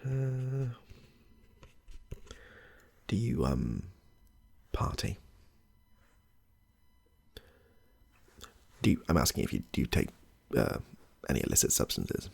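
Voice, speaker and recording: A man playing a chatty, affable medical intake clinician in a soft-spoken ASMR roleplay, hesitant and reassuring, with a husky, gravelly voice. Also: a bass voice, wildly swooping pitch, a little slow, long pauses, full character voice. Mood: gently upbeat. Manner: hesitant, rambling, reassuring, murmurs to himself.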